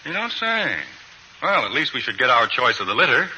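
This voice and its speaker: A man's voice: mid-range voice, very animated, brisk, dull audio, lightly acted. A man acting in a 1940s radio comedy as an exasperated but kindly suburban father, wry and grumbling. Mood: wry, soothing. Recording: noisy old 1940s radio recording.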